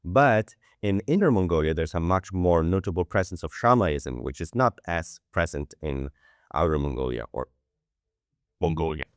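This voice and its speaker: A man hosts a podcast in a relaxed, chatty way, peppering his speech with "like". His voice sits very low. The sound is dry and close to the mic. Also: moderate pace, no room sound. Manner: loose, lots of "like" fillers. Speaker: podcast host. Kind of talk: casual podcast chat.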